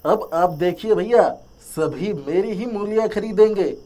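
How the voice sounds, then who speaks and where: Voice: mid-range voice, measured pace, warm tone, close to an everyday voice. An actor playing a resentful, scheming young farmer, a younger brother, with a sulky, complaining manner.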